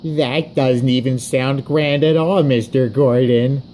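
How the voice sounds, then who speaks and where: A man's voice: medium pitch, fairly even intonation, slow, a little mellow, a little hiss or hum, pitched above the performer's own voice, close to an everyday voice. A man voicing a polite but cheeky junior male railway engine in a storybook parody, deferential yet questioning. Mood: unimpressed.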